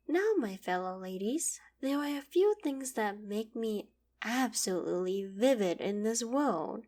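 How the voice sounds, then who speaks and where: Woman acting a breathy, gentle young queen. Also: fairly high pitch, animated, slow. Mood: stern, indignant. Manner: soft, breathy, dropping into whispered asides. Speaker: voice actor in audio roleplay. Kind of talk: scripted ASMR roleplay acting.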